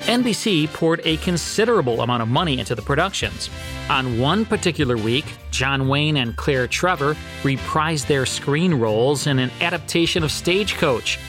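A man with an American accent hosting and reading scripted documentary narration in a measured, informative way. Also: medium pitch, a bit bright. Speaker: podcast host. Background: guitar music.

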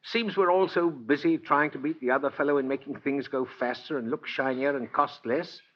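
An older man acting in 1940s radio style as a kindly, gentle old man who earnestly believes he is Santa Claus, with a husky voice. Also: mid-range voice, moderate pace, close to an everyday voice. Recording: muffled vintage 1940s radio recording.

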